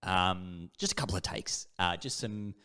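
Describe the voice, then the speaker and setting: A man's voice: low voice, normal speed, sharp tone, clean tone. A man with an Australian accent chatting casually as a podcast co-host, jokey and rambling. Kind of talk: casual chat.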